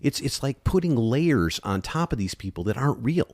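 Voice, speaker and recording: A man co-hosting a podcast, making his case with drawn-out emphasis and rhetorical questions. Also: medium pitch, big pitch swings, darkish tone, slightly rough, dry recording, studio quality. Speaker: podcast co-host. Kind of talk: casual debate.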